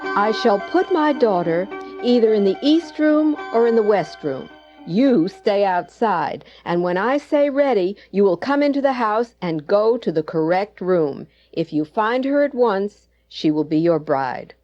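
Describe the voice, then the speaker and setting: A woman's voice: mid-range voice, expressive, unhurried, darkish tone, faint music behind, natural voice. A woman voicing a stern, protective old mother in a folk tale, firm and commanding. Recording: dull old cassette transfer.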